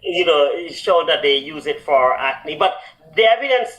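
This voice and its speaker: A man's voice: on the high side, lively intonation, a little slow, a bit bright, poor audio. A man who is a doctor, explaining health advice on a talk show with lots of fillers and restarts. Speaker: doctor answering health questions. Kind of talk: medical advice chat.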